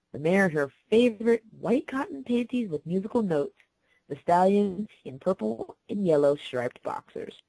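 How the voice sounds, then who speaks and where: A woman's voice: on the low side, a little mellow, dry recording, rough recording. Woman narrating a story, dry sound.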